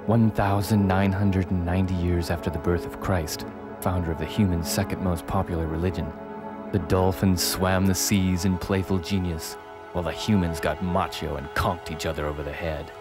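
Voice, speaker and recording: A man reading scripted documentary narration in a measured, storytelling way. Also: sits low, fairly even intonation. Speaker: voice-over narrator. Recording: music bed under the voice. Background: music.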